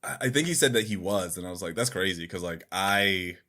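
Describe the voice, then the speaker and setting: A man's voice: low-pitched, brisk, sharp tone. A man co-hosting a podcast in loose, rambling, informal chat, with some swearing. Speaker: podcast co-host. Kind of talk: casual gaming podcast chat.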